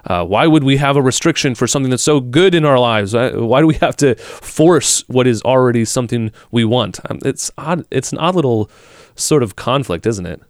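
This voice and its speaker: A man's voice: mid-range voice, lively intonation, normal speed, dry recording, clean studio sound. A man hosting a podcast interview on faith, talking in a conversational way and thinking aloud. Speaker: podcast host.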